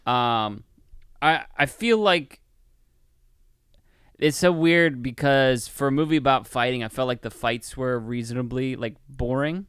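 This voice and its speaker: A man co-hosting a podcast, chatting casually in an excitable, rambling way with plenty of swearing. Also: mid-range voice, a little slow, smooth, dry recording, studio quality. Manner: excitable, profane, rambling enthusiast. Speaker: podcast co-host reviewing a film. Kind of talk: casual chat.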